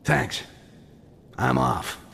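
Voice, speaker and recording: An actor playing a cynical goblin thief in a video game, with a gravelly voice and a dry, sardonic manner. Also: male voice, mid-range voice, drawn-out words, fairly bright tone, exaggerated voice. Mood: dry, grudging.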